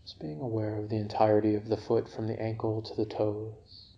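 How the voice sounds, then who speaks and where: Guy doing a sleep meditation, gentle and slow, flat steady pitch, slightly muffled recording. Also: vocal fry. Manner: gentle, unhurried, soothing. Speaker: meditation guide. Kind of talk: guided yoga nidra relaxation for sleep.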